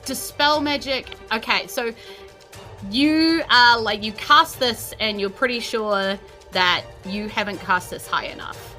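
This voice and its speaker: A woman's voice: fairly high pitch, unhurried, sharp tone. A woman narrating a tabletop role-playing game as game master, improvising conversationally. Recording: music behind the voice. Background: background music.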